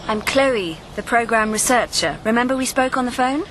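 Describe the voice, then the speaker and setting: An actress playing a polite, earnest young television researcher in a naturalistic radio drama. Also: female voice, a little high, expressive, normal speed, fairly bright tone. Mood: polite, brisk. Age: young adult. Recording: radio drama with clear background noise.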